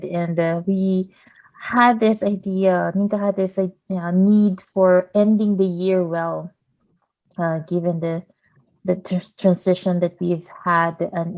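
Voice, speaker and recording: Soft-spoken woman from the Philippines. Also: lowish voice, vocal fry. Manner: soft-spoken, hesitant, with many uhs. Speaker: community designer. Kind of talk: self-introduction in an online workshop.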